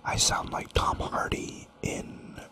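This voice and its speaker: Whispering man musing over a strategy game. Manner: rambling, musing, toys with repeated words; soft and unhurried, thinks aloud. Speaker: gaming channel host. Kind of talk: whispered ASMR gameplay commentary.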